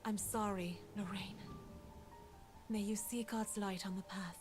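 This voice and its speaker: A woman's voice: medium pitch, fairly even intonation, measured pace, over music, close to an everyday voice. A woman playing a guilt-ridden, anxious young woman in a horror game, with an earnest, fragile, dramatic delivery. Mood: remorseful.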